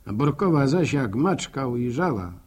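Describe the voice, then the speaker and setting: Husky, folksy village storyteller. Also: male voice, medium pitch, expressive, normal speed, a little mellow. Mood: musing. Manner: folksy, reflective, proverb-laden rambling. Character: folksy village man narrator, musing, neighbourly, plain-spoken. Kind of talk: radio drama monologue in rustic storytelling.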